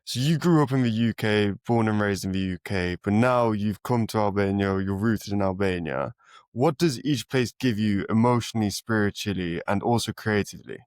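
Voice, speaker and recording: A man with a lowish voice hosts a podcast interview and asks open questions at an even, measured pace. The sound is close and dry. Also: no room sound.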